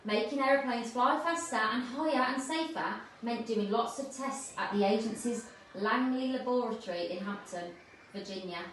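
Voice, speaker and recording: A woman's voice: fairly high pitch, normal speed, fairly bright tone. A woman reading a children's story aloud, recorded in an echoey room at a distance from the microphone. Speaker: school staff reader. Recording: very echoey room, distant mic, faint noise.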